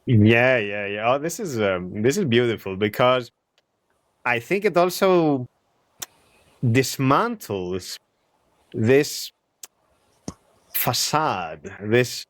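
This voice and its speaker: A man hosting a casual conversation, talking in an animated way, acting out imagined remarks and laughing easily. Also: mid-range voice, slow, long pauses, dry recording. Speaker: podcast host.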